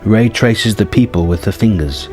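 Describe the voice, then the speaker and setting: A man's voice: fairly low pitch, normal speed. A man reading a scripted story treatment aloud as narrator. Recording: music behind the voice. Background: background music.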